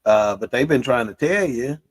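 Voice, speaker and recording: Brief: male podcast co-host, mid-pitched, slangy storyteller, dry close sound. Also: expressive, normal speed, slightly rough, no room sound. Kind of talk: casual discussion.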